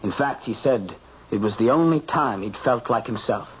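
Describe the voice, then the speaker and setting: Brooding young American son. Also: male voice, mid-range voice, lively intonation, moderate pace, slightly rough. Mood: shaken, insistent. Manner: intense, insistent. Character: shaken, brooding grown son. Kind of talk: old-time radio drama acting. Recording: muffled, faintly noisy old radio recording.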